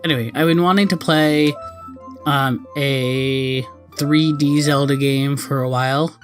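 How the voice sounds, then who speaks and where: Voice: medium pitch, steady tone, measured pace. A video game player giving casual let's play commentary, rambling and often correcting themselves mid-thought. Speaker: gaming video host. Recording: game music behind. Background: video game cutscene music.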